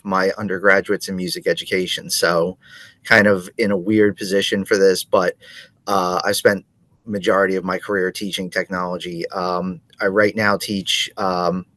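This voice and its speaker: A man's voice: low voice, a bit bright, no room sound. A man, a robotics teacher, casually introducing himself on a video call with hesitant fillers.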